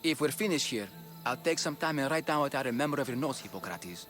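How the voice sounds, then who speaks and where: An actor playing a deferential physician's apprentice in a video game, apologetic and dutiful. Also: male voice, medium pitch, expressive, fast talker, fairly bright tone, faint music behind, natural voice.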